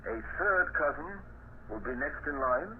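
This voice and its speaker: An actor playing a courteous, shrewd police inspector who hedges with little uhs, in 1940s radio drama style. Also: male voice, fairly high pitch, lively intonation, unhurried. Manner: courteous, hesitant with uhs, then firm. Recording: tinny old radio transcription with hiss.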